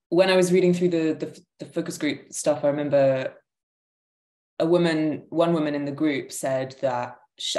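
A woman's voice: sits low, a little mellow. A woman in her thirties reflecting hesitantly in a panel discussion, searching for words. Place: living room, indoors. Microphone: video call, laptop at arm's length. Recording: roomy, echoing video call.

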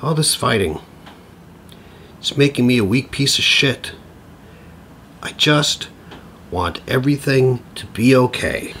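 An actor playing a flustered, needy middle-aged father in an audio play, with a husky voice and a hesitant, stammering way of talking. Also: mid-range voice, animated, takes it slowly, pauses often, a bit bright, a little hiss or hum. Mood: anxious, dejected. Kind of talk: naturalistic audio play acting.

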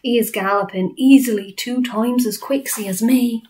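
A woman's voice: a little high, normal speed, darkish tone, voice pushed up, lightly acted. A woman voicing a kindly, eccentric male giant in a storybook, nervous, with quaint muddled grammar and invented words.

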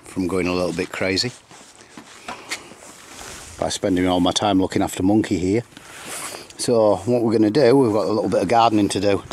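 A man's voice: fairly low pitch, steady tone, brisk, pauses often, darkish tone. A man chats casually to camera as a vlogger, rambling and repeating himself a little. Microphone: camera at arm's length. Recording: very noisy camera audio.

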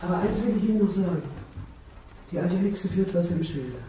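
A man's voice: sits high, brisk, roomy sound, distant mic, background noise, close to an everyday voice. An actor playing a wry, grumbling man of the household in a radio play, muttering theatrically. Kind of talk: German radio play acting.

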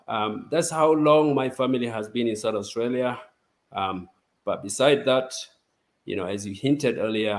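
Brief: young South Sudan-born man, guest on a video call, relaxed and chatty. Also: fairly low pitch. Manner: relaxed, chatty, laughs easily. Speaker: interview guest. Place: home office.

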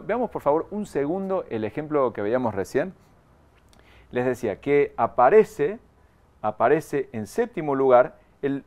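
A man's voice: mid-range voice, a little mellow. A man, leading a live online training. He explains patiently, repeats the key points and speaks straight to the viewers. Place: studio in front of a graphic backdrop.

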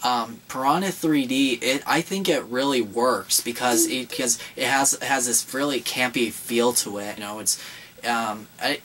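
A man's voice: medium pitch, a bit bright, far from the mic, a little hiss or hum, low-quality recording. A young man co-hosting a casual horror movie chat in a small room, rambling with many fillers and restarts. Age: young adult. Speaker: horror channel co-host.

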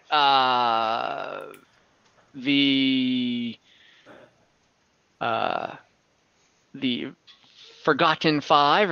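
Bright-voiced man slowly narrating a fantasy game. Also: mid-range voice, clean tone, no room sound. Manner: halting, pieces scenes together in fragments. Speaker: game master. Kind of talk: improvised narration of an online tabletop fantasy game. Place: indoors at home, on a group video call. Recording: slightly dull video-call audio.

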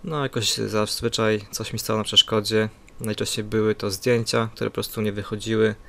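A man's voice: lowish voice, flat delivery, normal speed, vocal fry, a bit bright. A man giving a casual spoken product review in a hesitant, plain-spoken, improvised way into a USB desktop microphone. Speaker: amateur gadget reviewer. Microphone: USB condenser desktop microphone.

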